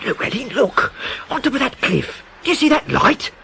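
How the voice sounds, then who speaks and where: A man's voice: very high voice, sing-song, normal speed, gravelly, pushed and bright, a little hiss or hum, heavily acted. An actor playing an agitated, suspicious man haunted by terror, speaking in clipped, brooding phrases in a radio drama. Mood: urgent, alarmed.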